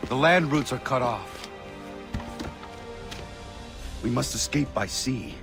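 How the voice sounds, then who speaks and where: An actor playing a resolute young warrior in a video game, terse, earnest and formal, with deadpan delivery. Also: male voice, medium pitch, normal speed, gravelly, music in the background. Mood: grim. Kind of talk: dramatic video game acting.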